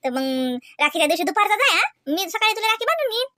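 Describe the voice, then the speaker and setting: A woman's voice: extremely high pitch, big pitch swings, fast, bright, forceful tone, no echo, studio quality, full character voice. A woman playing a doting, chatty village mother in a Marathi comedy cartoon, with a rural Vidarbha accent, cooing in baby talk and teasing. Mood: caring, homely.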